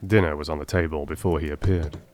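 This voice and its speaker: A man narrating an audiobook in the first person, with a measured, grave and theatrical delivery. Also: a bass voice, steady tone, darkish tone, slight background noise.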